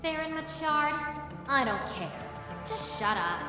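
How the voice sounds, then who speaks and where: A woman's voice: high-pitched, expressive, a little slow. A woman playing a fierce, proud young swordfighter in a game cutscene, talking bluntly and in a domineering way. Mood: commanding. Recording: reverberant hall over music.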